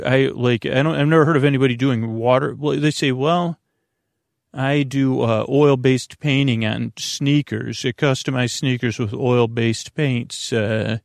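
A man with a creaky, gravelly voice, recorded close and dry. He hosts a bedtime show in a meandering monologue, often repeating himself and leaving phrases unfinished. His manner is self-deprecating. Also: medium pitch, no echo. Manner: halting, repeats and trails off mid-phrase. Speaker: host of a bedtime podcast.

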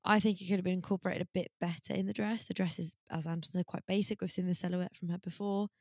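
Sweary woman, low-pitched and enthusiastic. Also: steady tone, fast talker, a little mellow, dry recording, clean studio sound. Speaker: podcast co-host. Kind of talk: casual chat, fashion commentary.

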